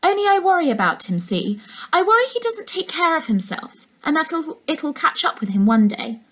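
A woman's voice: high voice, big pitch swings, normal speed, voice pushed up, low-quality recording. A woman reader puts on a prying middle-aged housekeeper from a storybook. She wheedles in a raised, swooping voice, all false sweetness and insinuation. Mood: feigned concern, prying.

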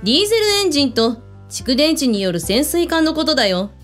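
A woman's voice: high voice, sing-song, a little fast, soft background music. An actress in a radio drama playing a breezy, blunt female hotel owner who speaks with authority. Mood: explanatory, confident.

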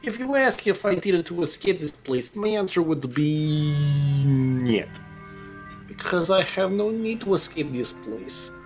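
A man voicing a creepy, lovesick young man with a theatrical, drawn-out delivery in a game fan dub. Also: a little high, very animated, soft background music. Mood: eerily composed. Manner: slow, dramatic, drawn-out delivery.

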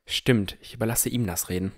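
A man's voice: on the low side, steady tone, fairly quick. A man narrating a fantasy audio drama in the first person from a script.

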